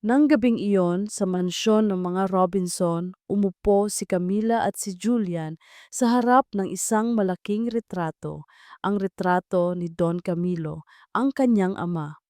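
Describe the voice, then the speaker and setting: A woman's voice: mid-range voice, measured pace, a little mellow, clear, resonant tone, no room sound, clean studio sound. A woman with a Filipino accent reading scripted dramatic narration as a storyteller.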